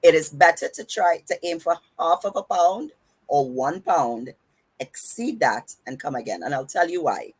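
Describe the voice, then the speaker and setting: A woman with a Trinidadian accent explains diet goals on a podcast, running her words together as she lays out each point. Her voice sits low and has a husky edge. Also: lively intonation, moderate pace. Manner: runs her words together, emphatic and instructive. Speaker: podcast host and fitness coach. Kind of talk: casual podcast advice.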